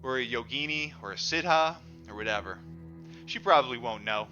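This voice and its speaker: Animated male storyteller over music. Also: mid-range voice, brisk. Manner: animated, acts out quoted dialogue. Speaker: audiobook reader. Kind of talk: narrated book reading, storytelling. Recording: dull lo-fi audio, music underneath.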